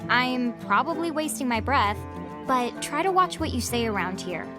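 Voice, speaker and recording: A woman playing a sensible, sharp-tongued young heroine in a video game, articulate and slightly prim. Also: on the high side, expressive, normal speed, natural voice. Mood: wary. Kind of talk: video game cutscene acting. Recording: music clearly behind the voice.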